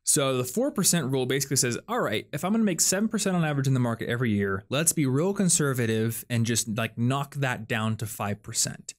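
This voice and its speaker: A man's voice: medium pitch, animated, fast talker, hardly any pauses. Chatty young man explaining. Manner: chatty, explains things with lots of "like" and "um". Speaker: podcast host. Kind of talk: casual podcast chat.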